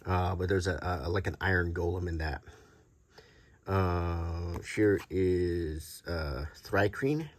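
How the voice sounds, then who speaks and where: A man's voice: low-pitched, slow. A middle-aged or older man giving unscripted, casual show-and-tell commentary in an easygoing, rambling way, thinking aloud. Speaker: host of a hobby collecting channel.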